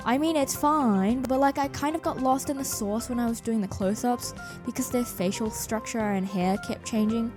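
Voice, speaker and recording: High-voiced woman, irreverent, over music. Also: moderate pace, few pauses. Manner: self-deprecating, irreverent, jokey. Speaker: animator narrating a making-of video. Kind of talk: casual scripted video commentary.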